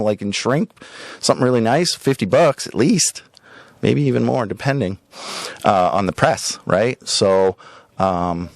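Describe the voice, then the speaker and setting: A man hosting a podcast in a casual, rambling way, showing items for sale with drawn-out emphasis and frequent tag questions. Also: medium pitch, darkish tone, no echo. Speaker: podcast host and record shop seller. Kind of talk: casual rambling product showcase.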